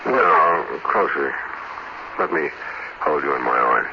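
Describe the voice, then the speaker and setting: A man acting a calm, sinister alien impostor posing as a husband in a 1950s radio drama, measured and quietly insistent. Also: very deep voice, gravelly, full character voice. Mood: coaxing, insistent. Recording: dull, noisy old radio recording.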